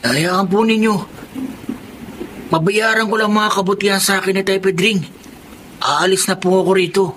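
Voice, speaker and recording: A man's voice: high-pitched, steady tone, moderate pace, long pauses, a bit bright, slight background noise, poor audio. An actor playing a humble, timid young man who talks in a meek, polite, hesitant way. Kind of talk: radio drama acting.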